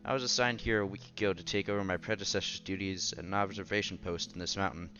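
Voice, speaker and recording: A man's voice: sits low, fairly even intonation, fast, bright, forceful tone, lo-fi sound, faint music behind. A man playing a modest, timid young science student with a hesitant, stammering delivery. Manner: hesitant, stammering, self-effacing.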